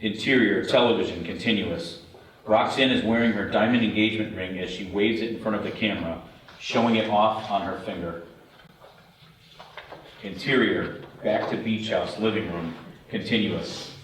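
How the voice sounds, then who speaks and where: A man's voice: fairly low pitch, moderate pace, fairly bright tone. A man reading scripted stage directions at a table read. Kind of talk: scripted narration. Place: echoey room, away from the mic. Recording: echoey room, distant mic, faint noise.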